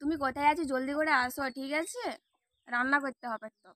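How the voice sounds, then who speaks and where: A woman's voice: sits high, fast, a bit bright, dry recording, clean studio sound. A woman, nagging and scolding insistently in a casual prank phone call. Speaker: prank caller posing as the wife of the person called.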